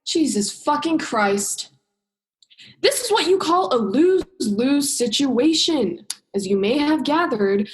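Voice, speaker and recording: A woman's voice: medium pitch, a little mellow, close to an everyday voice. A woman drama student acting a bitter, sarcastic young female scientist in a radio play, sardonic and emphatic, stretching stressed words. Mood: exasperated, sardonic. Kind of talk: radio play monologue and dialogue.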